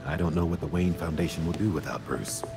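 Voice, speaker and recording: An actor playing a weary, kindly older colonel in a game cutscene, with plain-spoken delivery. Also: male voice, rumbling low, quick pace, over music. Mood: weary, grieving. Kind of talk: naturalistic video game cutscene acting.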